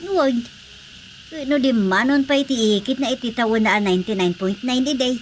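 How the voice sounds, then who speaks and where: A fussing, practical village mother in an Ilocano radio drama, played by a woman. Her voice is on the high side, with faint noise behind it. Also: normal speed, natural voice. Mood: explaining.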